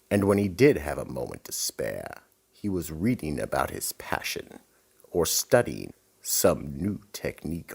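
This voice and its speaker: A man playing a sinister keeper of a vault, narrating radio mystery theater in an ominous, theatrical, gravelly voice. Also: a bass voice, exaggerated voice. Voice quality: gravelly, husky. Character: sinister slow male horror host, keeper of a vault. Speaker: host and narrator.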